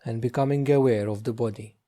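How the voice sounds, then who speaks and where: A man leading a guided meditation as a teacher, speaking gently and slowly with long pauses. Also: medium pitch. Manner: slow, hushed, gentle delivery with long pauses.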